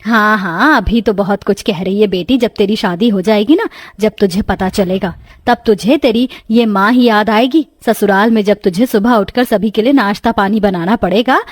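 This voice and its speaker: A woman's voice: mid-range voice, moderate pace, no echo, studio quality, close to an everyday voice. Nagging middle-aged mother, voiced by a woman. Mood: scolding. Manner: scolding, taunting. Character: nagging middle-aged mother scolding her lazy daughter.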